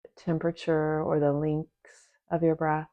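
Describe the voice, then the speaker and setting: A woman teaching a guided meditation in a gentle, unhurried manner, leaving long pauses between short phrases. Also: deep voice, little pitch movement, lo-fi sound. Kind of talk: guided meditation, soft instruction.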